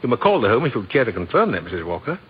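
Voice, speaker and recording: A man's voice: on the low side, very animated, rapid-fire, slightly rough, full character voice. An older man playing a kindly, jolly, grandfatherly department store Santa in an old-time radio drama. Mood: gentle, earnest. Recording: dull lo-fi vintage radio recording.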